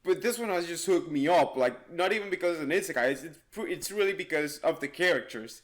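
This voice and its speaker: A man chatting casually as a podcast host, excitable and prone to exclaiming. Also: fairly high pitch, fast.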